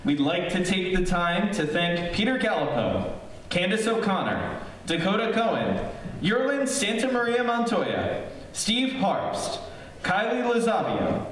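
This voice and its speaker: A man's voice: high-pitched, sing-song, a little slow, a bit bright. A man acting as announcer for a radio play troupe, giving a formal closing sign-off. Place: echoey room, live streamed performance. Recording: echoey, distant, noisy streamed stage audio.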